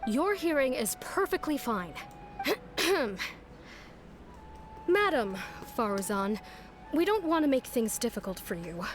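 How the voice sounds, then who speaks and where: Game academy official: high, animated voice, formal, insistent, running out of patience. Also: female voice, slow pace, long pauses, faint music behind, heavily acted. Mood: exasperated. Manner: formal, pressing. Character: exasperated female academy official, polite but insistent. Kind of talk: video game voice acting.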